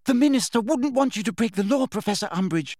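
A man's voice: high-pitched, expressive, moderate pace, husky, dry recording, clean studio sound, voice pushed up, heavily acted. A man voicing a clever teenage schoolgirl who pleads desperately. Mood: pleading.

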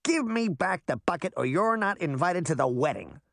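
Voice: medium pitch, sing-song, normal speed, vocal fry, a bit bright, dry recording, studio quality, pitched above the performer's own voice. A narrator voicing a mischievous, bratty boy who delights in chaos. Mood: gleeful. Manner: gleeful, taunting.

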